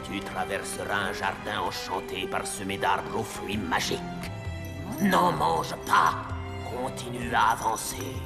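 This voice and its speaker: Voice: sits low, loud music behind the voice. An actor playing a scheming evil sorcerer who poses as a kindly uncle in a French cartoon fairy tale, with a commanding, theatrical delivery. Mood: falsely kind, instructing. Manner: slow, commanding, theatrical incantations.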